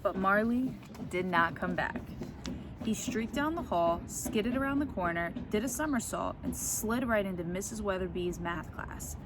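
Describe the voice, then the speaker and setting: Woman reading a storybook over background noise. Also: moderate pace. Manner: expressive, acts out the characters' lines. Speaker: teacher reading a picture book aloud. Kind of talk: animated children's story read-aloud. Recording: clear background noise, ambient rumble.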